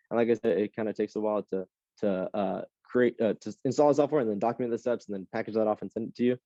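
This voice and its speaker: A man's voice: medium pitch, mellow, no room sound, dull audio. Rambling man teaching a computing class. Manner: casual, rambling, lots of ums and restarts. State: slightly hoarse. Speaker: cluster computing instructor. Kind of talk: live online class lecture. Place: classroom with a Zoom audience.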